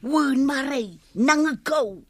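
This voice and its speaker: A woman's voice: sits high, slow pace, no echo. A woman with an Ilocano Filipino accent playing a pushy, gossipy, scheming village neighbour in a radio comedy. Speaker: radio drama actress. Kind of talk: broad radio comedy acting.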